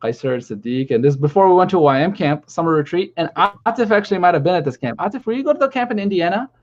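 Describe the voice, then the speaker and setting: A man's voice: high-pitched, expressive, racing. A man hosting a casual chat on a video call, rambling and chatty. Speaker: stream host. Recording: lo-fi call audio.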